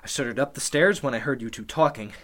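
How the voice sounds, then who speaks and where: A man's voice: medium pitch, fairly quick, fairly bright tone, close to an everyday voice. An actor playing a scheming, injured man who puts on protective concern, urgent and persuasive, in an old-time radio play. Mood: urgent, shaken. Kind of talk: Golden Age style radio play acting.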